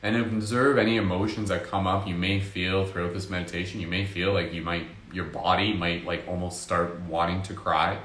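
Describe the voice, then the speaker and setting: Low-voiced guy talking you through a meditation, slow and soft, kinda echoey room. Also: flat delivery. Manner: slow, soft-spoken, hypnotic with casual fillers. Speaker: meditation guide. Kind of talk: guided meditation.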